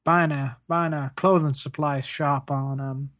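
Someone plays a plaintive space plumber in an improvised comedy interview. The voice is medium in pitch and recorded close and dry, rambling hesitantly through absurd complaints with earnest deadpan. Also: a little slow, no room sound, lightly acted. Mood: resigned. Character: hard-done-by, whiny working-class space plumber, earnestly absurd.

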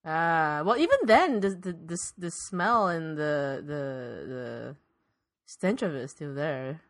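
A woman's voice: deep voice, expressive, takes it slowly, no room sound, clean studio sound. A woman interviewing in an improvised comedy piece, playing it straight and often hesitantly repeating her words.

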